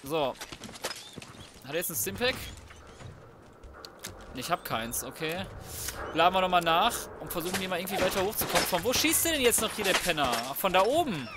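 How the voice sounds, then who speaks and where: A man's voice: sits high, lively intonation, a little fast, long pauses, very noisy. A man giving casual, colloquial let's play commentary, swearing and reacting aloud as he plays. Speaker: gaming YouTuber. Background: video game sound and music.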